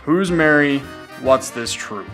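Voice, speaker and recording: A man's voice: on the high side, lively intonation, slow pace. A man playing a blunt, suspicious police detective in a noir radio drama. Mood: stern, irritated. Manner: hard-boiled, interrogating. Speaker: student voice actor. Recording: amateur radio drama with music underneath. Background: music.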